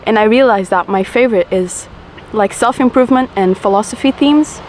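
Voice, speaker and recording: A young woman in her twenties with a naturally low voice talks in a relaxed, rambling, confessional way while smoking a cigarette. Her pitch sits mid-range, with faint traffic noise outdoors behind her. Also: brisk. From Portugal. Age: 20s. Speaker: vlogger. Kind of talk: casual chat to camera.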